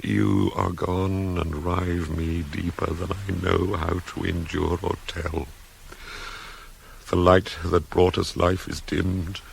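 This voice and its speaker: Slow, grief-stricken tragic king. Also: low-pitched, vocal fry, slight background noise, heavily acted. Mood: hushed grief. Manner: declamatory, slow, heavily dramatic. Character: grief-stricken ancient Greek king, proud, widowed, raging. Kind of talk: 1980s radio play, classical tragic verse acting.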